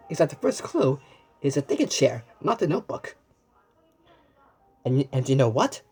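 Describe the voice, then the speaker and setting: Woman, children's story reading, swooping intonation, husky edge, exclamatory, halting with repeated words. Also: fairly low pitch, darkish tone. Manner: exclamatory, asks the listener questions and pauses as if answered. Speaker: storybook reader. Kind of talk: animated children's read-aloud with asides to the viewer.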